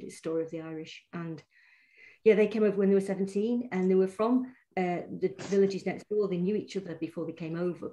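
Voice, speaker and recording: A woman's voice: on the low side, dark tone, rough recording. A middle-aged woman with a Mancunian accent, a poet chatting in an interview with many restarts and fillers. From Manchester, England. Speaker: poet and guest. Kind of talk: casual interview chat.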